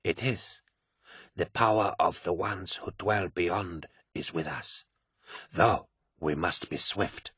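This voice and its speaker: A cryptic old priestess, as a male narrator puts her on, her voice a rasping, breathy hush that drags slowly. It is deep yet bright-edged and menacing, and sounds recorded close and dry. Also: no room sound, exaggerated voice. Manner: slow, low and cryptic. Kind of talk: audiobook character voice.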